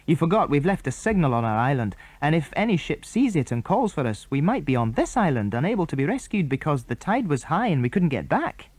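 A man's voice: on the high side, sing-song, brisk, few pauses, a little mellow, dry recording, voice pushed up. Man reading as a firm, sensible British boy. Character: sensible, cautious older boy leading stranded children. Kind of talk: children's storybook reading.

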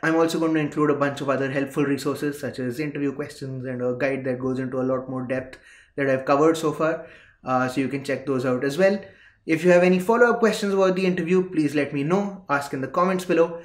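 Young man, explainer presenter, slightly raised pitch, roomy recording. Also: steady tone, fast. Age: young adult. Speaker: interview-prep presenter. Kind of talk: explainer to camera. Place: indoor room. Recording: roomy echo.

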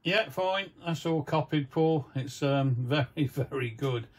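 A man's voice: a little high, measured pace, heavy background noise. A man, a fellow operator, giving a casual reply over CB radio. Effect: heard over CB radio.

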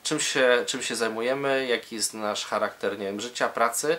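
A man's voice: medium pitch, normal speed, pushed and bright, slight background noise. A man speaking as a medical specialist in an interview, explaining hesitantly and often repeating words as he searches for phrasing.

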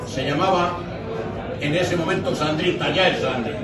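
An older man from Spain speaks informally and from the heart, moved and a little choked up. His voice is rather high, heard from across a roomy restaurant with a loud crowd around him. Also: moderate pace, far from the mic. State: emotional, choked up. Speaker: speaker giving a tribute at a farewell dinner. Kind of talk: informal heartfelt tribute speech. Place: busy restaurant dining room, indoors. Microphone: distant camera across the room. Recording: echoey room from afar, loud crowd.

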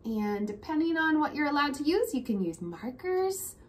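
A woman's voice: sits high, very animated, normal speed. A woman in her thirties hosting a casual lesson for young children, encouraging them and prompting with questions. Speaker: children's read-aloud host. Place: small room at home, indoors. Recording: noisy room ambience.

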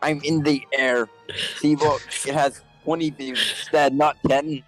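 A man's voice: a little high, steady tone, measured pace, fairly bright tone. Guy doing a vain cartoon mad doctor, takes his time bragging, music behind him. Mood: desperate boasting. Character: boastful, unhinged cartoon villain doctor, vain streamer. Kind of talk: improvised comedy fan dub.

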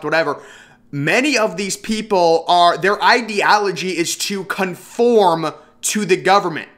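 A young man speaks into a desk webcam setup in a room at home. His voice sits a little high and rings bright and pushy. He rants with indignation, rushing through his points and restarting his sentences. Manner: indignant, rapid ranting with frequent restarts. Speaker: political commentary vlogger. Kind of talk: opinionated screen-share commentary.

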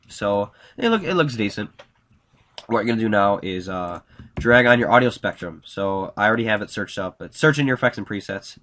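A young man with a nasal, slightly congested voice, casually talking through a software tutorial with lots of fillers. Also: lowish voice, a little hiss or hum. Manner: casual, upbeat, many fillers. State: congested from a cold. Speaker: tutorial host. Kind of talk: screen-recorded software tutorial.

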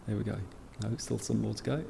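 A man in his thirties leading prayer and dismissing the congregation from a stand mic in a church hall, relaxed and informal. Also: lowish voice, fast, gravelly. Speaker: church leader. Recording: long hall reverb, faint noise.

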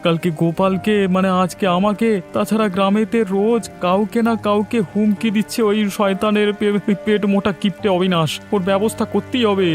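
Man as poor village schemer, cartoon, raised pitch, animated, hot-headed, music underneath. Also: normal speed, hardly any pauses, close to an everyday voice. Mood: resolute. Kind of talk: cartoon voice acting.

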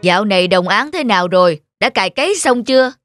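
A man's voice: sits high, expressive, quick pace, fairly bright tone, dry recording. A man playing a greedy, bullying middle-aged village master in a cartoon folk tale, with a scolding, scheming manner. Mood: bossy. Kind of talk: animated folk tale acting. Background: music.

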